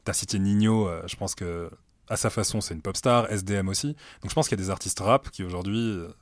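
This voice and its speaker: Late-30s guy, really low voice, laid back, lots of "euh" pauses, interview in an office room. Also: dry recording. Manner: relaxed, thoughtful, many hesitations. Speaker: music director at a streaming platform, guest. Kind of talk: casual conversational interview. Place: meeting room at the streaming company's office.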